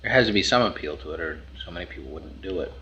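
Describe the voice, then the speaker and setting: A man casually asking questions as an interviewer in a relaxed, conversational style. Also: low voice, rapid-fire. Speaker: magazine interviewer. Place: at a table in a house. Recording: dull, faintly noisy interview tape.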